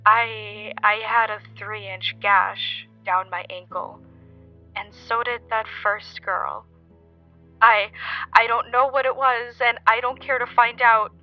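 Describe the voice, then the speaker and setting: Shaken young woman, played by an actress, earnest halting account for audio drama, muffled lo-fi with music. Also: a little high. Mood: shaken, defensive. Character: shaken young woman recounting a frightening experience. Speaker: caller telling a story. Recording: dull lo-fi recording, music underneath.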